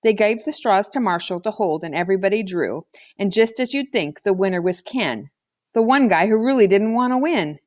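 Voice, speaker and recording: A woman's voice: medium pitch, expressive, normal speed, a little mellow, dry recording. Woman narrating a children's storybook, dry close sound. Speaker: audiobook reader.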